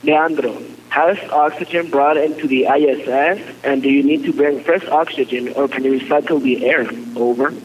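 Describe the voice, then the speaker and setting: A man's voice: mid-range voice, steady tone, normal speed, hardly any pauses, breathy edge, distant mic. Male student on a dull radio relay. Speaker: student questioner. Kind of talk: reading a prepared question.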